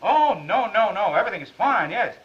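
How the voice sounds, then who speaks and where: Glib 1930s reporter, American male: bright, lively, high-ish, eager bluffer, dull vintage film sound. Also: a little fast. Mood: breezy, bluffing. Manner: glib, chuckling, eager patter. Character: fast-talking, bluffing, cheerful young newspaper reporter. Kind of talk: 1930s film comedy-drama acting. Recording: muffled, band-limited 1930s film soundtrack.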